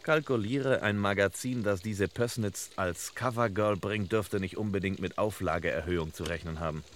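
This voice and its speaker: An actor playing a sardonic, pompous detective who speaks in clipped deductions, in a vintage radio crime comedy. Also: male voice, low voice, normal speed, close to an everyday voice. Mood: mock-solemn. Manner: pompous, deadpan, telegram-style phrasing. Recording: noisy old radio recording.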